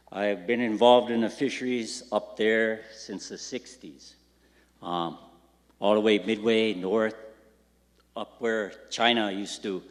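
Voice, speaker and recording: An older man giving blunt, rambling, bitter public testimony at a community meeting. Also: on the low side. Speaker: public commenter. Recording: public hall with long reverb.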